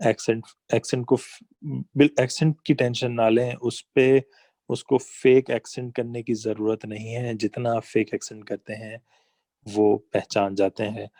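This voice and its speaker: A man's voice: mid-range voice, level tone, measured pace, dark tone, dry recording. A man giving a slide-based business lesson, talking conversationally and explaining with examples and asides. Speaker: online business trainer.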